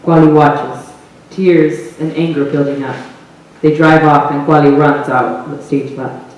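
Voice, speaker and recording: A man's voice: on the high side, fairly even intonation, slow pace. A man reading stage directions as the narrator of a staged play reading. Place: theater stage, recorded from the audience. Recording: echoey hall from far off, faint noise.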